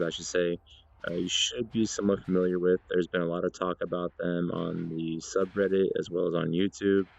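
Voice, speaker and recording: Low, gravelly man presenting a demo. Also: darkish tone, dull audio. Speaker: gaming video presenter. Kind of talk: casual spoken demonstration.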